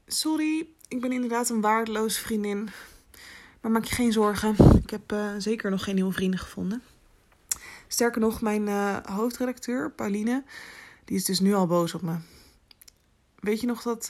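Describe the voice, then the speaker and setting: Young actress as curious reporter, husky with vocal fry, chatty and hesitant, naturalistic audio drama. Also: female voice, medium pitch, moderate pace, darkish tone, close to an everyday voice. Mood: confiding. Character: curious, self-mocking young woman reporter. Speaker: actor. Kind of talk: fiction podcast, casual voice-message acting.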